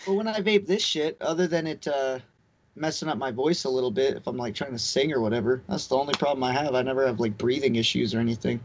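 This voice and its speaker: A man's voice: medium pitch, fast talker. A man co-hosting a remote podcast in casual chat, laid-back and swearing often. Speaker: podcast co-host. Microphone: remote call mic.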